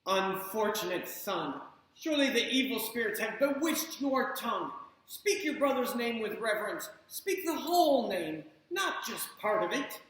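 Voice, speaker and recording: A woman's voice: mid-range voice, animated, slow, fairly bright tone. Stern formal mother, voiced by a woman. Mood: stern scolding. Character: stern, proud mother, impatient with her younger son. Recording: echoey room.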